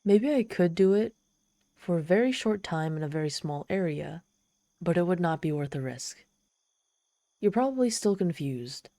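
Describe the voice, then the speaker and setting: A woman's voice: lowish voice, lively intonation, normal speed, dark tone, no room sound, studio quality. A woman voicing a proud, lonely, trapped female demon in an ASMR roleplay, sardonic and exasperated with a pleading, vulnerable streak. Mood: wary. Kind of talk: ASMR roleplay monologue, dramatic storytelling.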